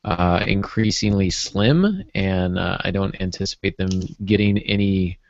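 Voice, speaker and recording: A man co-hosting a casual podcast, thinking aloud haltingly, on slightly lo-fi audio. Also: low-pitched, creaky, no room sound. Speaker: podcast co-host, writer. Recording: dry, slightly dull lo-fi audio.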